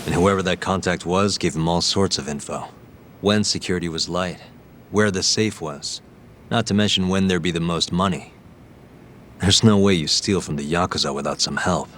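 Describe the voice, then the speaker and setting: An actor playing a tough, determined private detective with a direct, interrogating manner in a game dub. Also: male voice, low-pitched, fairly bright tone, a little hiss or hum. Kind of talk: English video game dub, dramatic acting.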